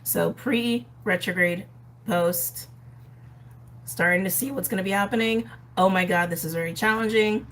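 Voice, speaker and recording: A woman with a hoarse, raspy voice, host of an astrology channel, explaining the planets in a chatty way with frequent ums. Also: mid-range voice, normal speed, a bit bright. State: hoarse, recovering from a respiratory illness. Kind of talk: casual explanatory astrology talk.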